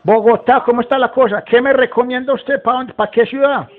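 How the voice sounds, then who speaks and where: A man's voice: very high-pitched, steady tone, a little fast. A man voicing a rustic, foul-mouthed, agitated 78-year-old from Antioquia, Colombia, in a folksy, rambling prank phone call. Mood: insistent. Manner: folksy, rambling, swearing, repetitive. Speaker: prank caller. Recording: muffled, very noisy phone recording with clicks.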